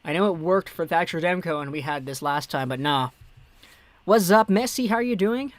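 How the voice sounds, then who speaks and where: A young man with a fairly high voice hosts a laid-back hockey live stream. He chats easily, reading out viewer messages and answering them. The sound is dry and close, with no room echo. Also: expressive, normal speed. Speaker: hockey livestream host. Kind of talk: casual live-stream chat.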